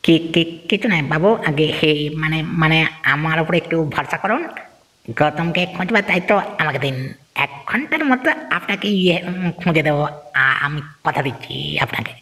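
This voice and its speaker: A man's voice: a little high, expressive, a little fast, bright, forceful tone. A man voicing a scheming, greedy kidnapper in a Bengali cartoon, servile before his master and stammering when flustered. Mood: sly, obsequious. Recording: long hall reverb, music underneath.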